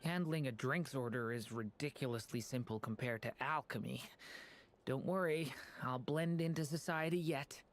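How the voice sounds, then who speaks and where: A man's voice: mid-range voice, normal speed, close to an everyday voice. A man playing a polite, self-assured young alchemist in a video game, speaking formally and with composure. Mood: composed, reassuring. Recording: music behind the voice.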